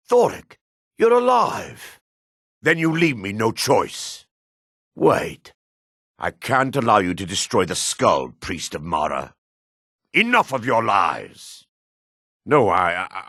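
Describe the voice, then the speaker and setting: Low, husky dark elf man. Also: big pitch swings, no room sound, studio quality, heavily acted. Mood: agitated. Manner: solemn, measured, theatrical delivery. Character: grave dark elf man, solemn and world-weary. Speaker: video game voice actor. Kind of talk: fantasy video game character dialogue.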